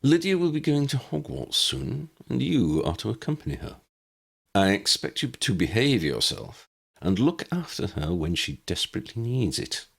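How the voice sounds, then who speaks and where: A man voicing a wry, kindly old man with measured delivery in an audiobook. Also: medium pitch, very animated, creaky, husky, pitched above the performer's own voice, full character voice. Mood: kindly, firm. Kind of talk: audiobook character reading.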